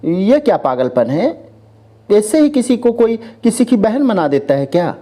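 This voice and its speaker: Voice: mid-range voice, sing-song, measured pace, mellow, voice pushed up. A storyteller voicing a cheeky, lovestruck young son who gets flustered and indignant. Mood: shocked, indignant.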